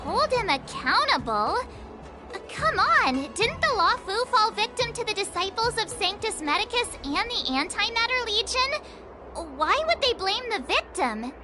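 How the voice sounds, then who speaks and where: A woman voicing a small, naive, indignant girlish companion in an anime game, expressive and incredulous. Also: very high-pitched, unhurried, over music, exaggerated voice.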